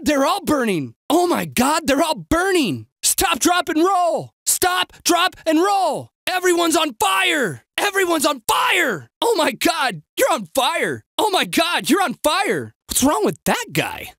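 A man voicing an excitable, sarcastic city bystander in a video game, with an exaggerated, wisecracking delivery. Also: very high voice, dry recording, studio quality, exaggerated voice. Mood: horrified, then indignant.

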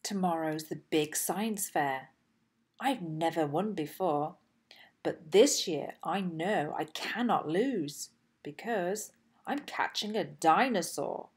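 A woman reading a children's storybook aloud in an expressive, deliberate way with emphatic phrasing. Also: fairly low pitch, husky. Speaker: host and children's storybook reader.

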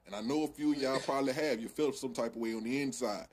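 A man's voice: mid-range voice, quick pace, slight background noise. A man insisting on his point in casual live-stream banter, repeating himself. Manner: laughing, insistent, repetitive. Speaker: panelist in a live-stream chat.

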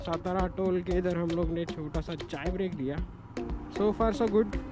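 A man's voice: high voice, a little slow, music in the background. A man from India vlogging his motorcycle trip in casual talk that slips into English phrases, recorded outdoors by the road. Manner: mixes English time checks into casual Hindi. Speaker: motovlogger. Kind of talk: casual travel vlog narration. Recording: noisy roadside recording.

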